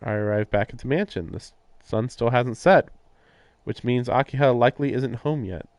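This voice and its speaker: A man's voice: medium pitch, moderate pace, a little mellow, no echo. A man livestreaming a visual novel, casually reading narration aloud and adding sardonic, incredulous asides. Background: game music.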